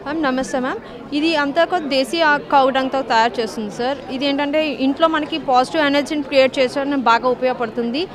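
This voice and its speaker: Brief: woman vendor, high voice, eager product pitch, busy crowd behind. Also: fairly even intonation, brisk. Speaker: stall vendor. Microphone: handheld mic. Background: crowd chatter.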